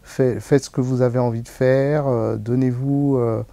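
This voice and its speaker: A hospital nurse answering interview questions, hesitant and full of pauses. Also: male voice, mid-range voice, fairly even intonation, gravelly, warm tone. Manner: hesitant, many fillers.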